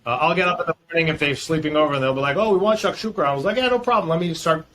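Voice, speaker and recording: A man's voice: a little high, racing, a bit bright. Man, middle-aged, friendly and rambling, faint hiss behind. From Boston area, US. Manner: friendly, talkative, many ums and restarts. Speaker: podcast guest, fintech founder. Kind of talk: casual interview chat.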